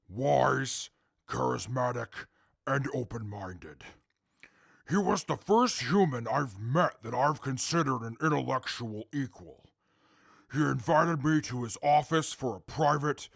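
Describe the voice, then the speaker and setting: A man playing a gravelly, articulate super mutant. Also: medium pitch, no room sound, clean studio sound, full character voice. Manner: measured, formal and precise. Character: calm, articulate male super mutant defendant. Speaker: defendant testifying in court. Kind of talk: audio drama acting.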